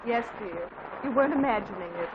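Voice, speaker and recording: A woman's voice: a little high, animated, fairly quick, natural voice. An actress playing a flustered but dryly humorous middle-aged mother in a 1940s radio comedy, heard through a hissy, dull old radio recording. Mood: wry.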